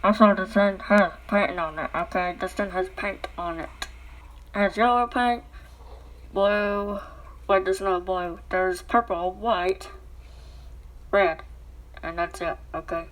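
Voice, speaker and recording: Woman vlogger at home talking into a paper towel tube, keeps saying okay?, average pitch. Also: steady tone, slow, long pauses, slight background noise, rough recording. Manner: repeats "okay?" after nearly every sentence; talking through a cardboard tube like a megaphone. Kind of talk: rambling casual vlog talk. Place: indoors at home. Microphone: phone.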